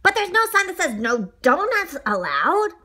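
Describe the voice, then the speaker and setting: Lady reading as a fond fussy storybook man, earnest, bright voice, really up and down. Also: very high-pitched, sing-song, normal speed, pitched above the performer's own voice, full character voice. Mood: excited. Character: fussy, fond male donut owner, sentimental, hungry.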